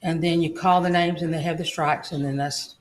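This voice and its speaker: A woman's voice: deep voice, fairly even intonation, fairly quick. A woman testifying as a county court clerk, polite and matter-of-fact. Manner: polite, brief answers, "yes, sir".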